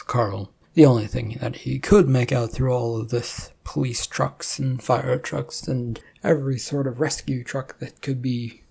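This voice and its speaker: A narrator reads scripted fiction in a mid-range voice. The delivery is gravelly and husky, with a soft, mellow tone. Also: mid-range voice.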